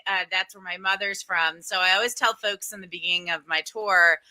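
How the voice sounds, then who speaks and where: A woman's voice: lowish voice, steady tone, a little fast, sharp tone. A woman from Connecticut, a tour business owner, telling stories with frequent laughter as a podcast guest. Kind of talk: casual interview.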